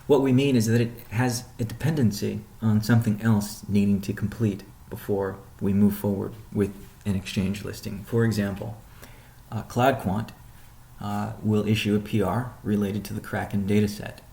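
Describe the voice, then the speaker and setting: A man with a fairly low voice that has plenty of gravelly fry speaks in an echoey room. He sounds like an executive running a routine conference call, setting out updates in a measured, explanatory way and often pausing partway through a sentence. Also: fairly even intonation, warm tone. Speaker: company CEO hosting a weekly update call. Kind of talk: informal business conference call briefing. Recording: roomy, echoing sound.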